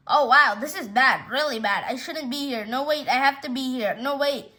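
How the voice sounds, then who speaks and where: A woman's voice: high voice, moderate pace, pushed and bright. A woman voicing a personified feeling of panic, anxious, flustered and indecisive, in a storybook reading. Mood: panicked. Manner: flustered, self-contradicting.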